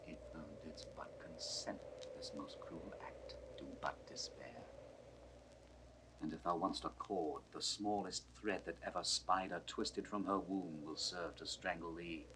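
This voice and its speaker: A man's voice: deep voice, a little slow, background noise. An actor playing a stern, suspicious, grief-struck nobleman in weighty, declamatory 1960s Shakespearean style. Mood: menacing, accusing. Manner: declamatory, weighty verse delivery. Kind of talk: 1960s classical Shakespearean recorded acting.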